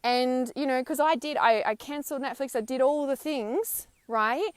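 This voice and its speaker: A woman in her thirties talks in a casual, emphatic monologue outdoors, leaning on her points with little tags. Her voice sits a bit high and sounds smooth and clear. The sound is close and dry, with almost no room around it. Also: moderate pace, no room sound. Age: 30s. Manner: chatty, emphatic, frequent "right?" and "okay?" tags, laughs often. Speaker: spiritual vlogger. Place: outdoors, wind audible.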